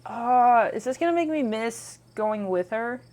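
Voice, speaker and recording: High-voiced woman narrating a game playthrough. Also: lively intonation, unhurried, a little mellow. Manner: casual, reactive, musing to herself. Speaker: gaming video host. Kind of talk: game playthrough commentary and read-aloud narration.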